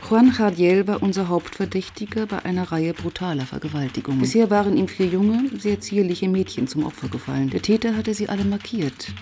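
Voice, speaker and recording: An actress playing a determined police inspector who narrates and questions soberly and precisely in a radio crime drama. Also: female voice, mid-range voice, a little fast, few pauses, dark tone, a little hiss or hum, lightly acted. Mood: sober narrating. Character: determined female police inspector narrating her own case. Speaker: narrator and lead detective. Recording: music behind the voice.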